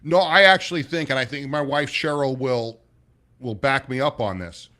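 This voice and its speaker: A middle-aged man hosting a casual sports talk show, his voice a little raspy, rambling with many restarts and sounding sluggish. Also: medium pitch, normal speed, pushed and bright. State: sluggish, low energy. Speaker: sports radio host.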